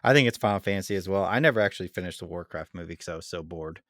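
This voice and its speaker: A man's voice: low-pitched, rapid-fire, fairly bright tone, dry recording, clean studio sound. A man hosting a podcast, chatting casually and informally as he debates. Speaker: podcast host.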